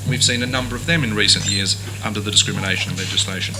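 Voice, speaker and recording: A man gives a formal conference presentation on legislation, steady and argued. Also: lowish voice, quick pace, over music. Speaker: conference speaker. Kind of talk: academic lecture. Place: university lecture hall. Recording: noisy conference room recording.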